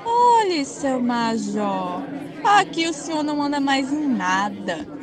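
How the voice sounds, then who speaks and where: A woman playing a bossy, sharp-tongued small-town wife in a radio soap opera. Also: high-pitched, big pitch swings, slow, a crowd in the background, exaggerated voice. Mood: irritated, bossy.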